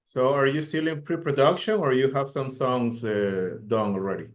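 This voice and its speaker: Man, medium pitch, interviewing casually. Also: normal speed. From Peru. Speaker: music interviewer.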